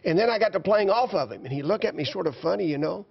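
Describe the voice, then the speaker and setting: A man's voice: sits high, lively intonation, fast, creaky, husky. A man in his seventies, a guest performer, telling stories in a folksy, chuckling way. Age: 70s. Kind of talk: casual chat. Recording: slightly dull TV studio audio.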